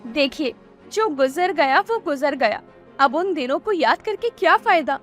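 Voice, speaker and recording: A woman's voice: high voice, lively intonation, measured pace, fairly bright tone, soft background music. A woman voicing a caring, affectionate village wife with a pleading manner in a cartoon story. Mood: consoling. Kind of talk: Hindi cartoon story dubbing.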